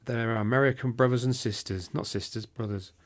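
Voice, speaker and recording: A man's voice: medium pitch, steady tone, a little fast. A British man co-hosting a podcast, talking casually and rambling with lots of restarts, his voice a little worn from talking. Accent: British English. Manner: chatty, rambling with frequent restarts. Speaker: podcast co-host. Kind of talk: casual chat.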